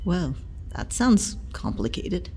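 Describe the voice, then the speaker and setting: A woman playing an elderly matron healer who is blunt, motherly and teasing, and who talks in a slow, dry, scolding way. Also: lowish voice, very animated, gravelly, mellow, in a whisper, heavily acted. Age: older. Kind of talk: audio drama acting.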